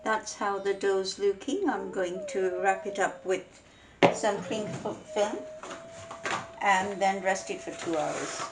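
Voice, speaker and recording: A woman from Bangladesh hosting a home cooking show, explaining each step slowly and carefully. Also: mid-range voice, heavy background noise.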